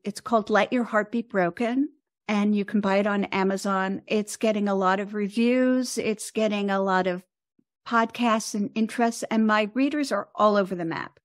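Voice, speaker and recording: An American woman of seventy talking reflectively as an interview guest, with thoughtful, halting phrasing. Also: medium pitch, no room sound, studio quality. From United States. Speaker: podcast guest, composer and author.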